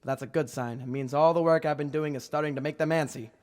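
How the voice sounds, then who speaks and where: A man acts a dapper, brave police inspector in a vintage radio crime serial. His voice runs a little high. He is cocky and wry, with theatrical delivery. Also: steady tone, quick pace, a little mellow, close to an everyday voice. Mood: urgent, determined. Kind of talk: 1940s radio acting.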